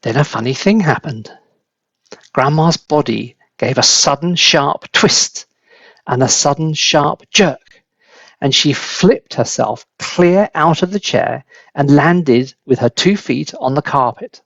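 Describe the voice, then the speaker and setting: A man reading a children's story aloud as a dramatic, deliberate, expressive narrator. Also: a little high, creaky, no room sound, dull audio. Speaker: storybook reader.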